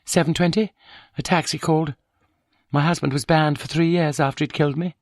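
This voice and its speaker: A resentful, sharp-tongued wife in a wheelchair, as a male narrator puts her on, pitched well above his own deep voice. She speaks in a raised, accusing tone in a dry, close audiobook recording. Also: fairly even intonation, brisk, no echo, natural voice. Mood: bitter. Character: bitter, vindictive middle-aged wife in a wheelchair. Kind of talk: audiobook character voice.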